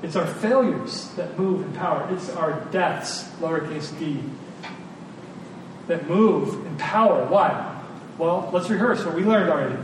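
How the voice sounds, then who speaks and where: A man's voice: a little high, unhurried. A man preaching a conversational sermon, earnest and informal, leaning on repeated phrases for emphasis. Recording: very echoey room, distant mic, clear noise.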